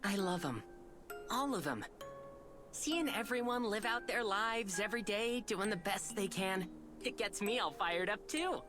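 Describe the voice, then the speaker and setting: Cocky, animated boy inventor voiced by a woman. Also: medium pitch, unhurried, over music, close to an everyday voice. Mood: earnest, fired up. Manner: brash, casual, earnest. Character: cocky, upbeat young male inventor kid. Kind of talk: video game voice acting.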